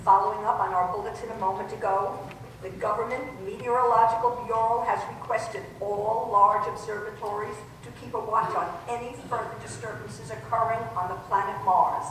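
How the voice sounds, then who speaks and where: A woman's voice: mid-range voice, steady tone, a little fast, natural voice. A woman playing a serious, formal newsreader with urgent bulletins in an old radio drama. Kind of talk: old-time radio news drama acting. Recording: echoey hall from far off, noisy.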